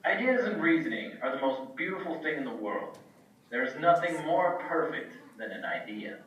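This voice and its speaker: A man's voice: fairly high pitch, very animated, moderate pace, a bit bright, highs cut off. A man acting an eager, boastful ancient Greek scientist puppet in a children's show, pompous and theatrical. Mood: confident. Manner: pompous, theatrical, self-admiring. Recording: echoey room, far from mic, faint noise.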